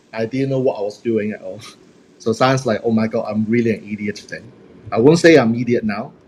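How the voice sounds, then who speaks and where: A man's voice: medium pitch, slight background noise, rough recording. A man whose first language is Cantonese, hosting a group chat about speaking on stage, upbeat and laughing at himself. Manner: upbeat, self-deprecating, laughs often. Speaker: host and speaking coach.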